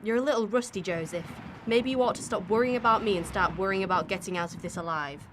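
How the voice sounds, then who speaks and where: A woman acting in a radio drama as a cool, sharp-tongued, defiant professional thief with a dry, sarcastic manner. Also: medium pitch, fast, a little hiss or hum, natural voice.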